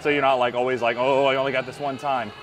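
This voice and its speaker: Fast-talking upbeat man at a carnival booth. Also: medium pitch. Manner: upbeat, chatty, excitable while playing. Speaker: theme park vlogger. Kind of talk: casual vlog chat. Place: outdoor carnival game booth at a theme park. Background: background music.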